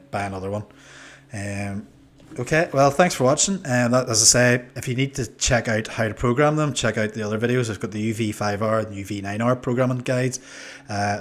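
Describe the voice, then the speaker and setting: Low-voiced Northern Irish man, hesitant. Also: a little hiss or hum. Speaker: review channel host. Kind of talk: casual product review to camera. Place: small indoor room. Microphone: desk microphone on a boom arm.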